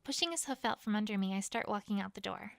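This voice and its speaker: A woman's voice: medium pitch, fast, gravelly, fairly bright tone, dry recording. A woman reading a first-person fantasy story as a dramatic narration. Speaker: fan fiction reader.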